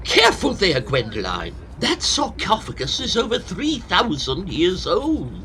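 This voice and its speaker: A man's voice: high-pitched, huge pitch swings, unhurried, background noise, full character voice. A man acting a fussy, self-pitying older hypochondriac professor in a comic period farce, lamenting in florid theatrical style. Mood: fretful.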